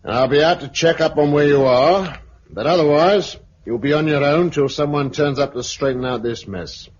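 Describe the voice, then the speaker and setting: Actor as a brusque gang boss, vintage radio. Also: male voice, on the high side, normal speed, natural voice. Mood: brisk, bossy. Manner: curt, commanding. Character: brusque, bossy man commanding a press-gang. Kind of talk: 1950s British radio drama acting. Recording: muffled, hissy 1950s radio recording.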